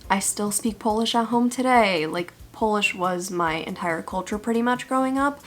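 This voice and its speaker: A woman's voice: mid-range voice, moderate pace. A young woman in her twenties from the United States, chatting casually and playfully to camera with sassy asides. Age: 20s. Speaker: YouTuber. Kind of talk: casual vlog chat.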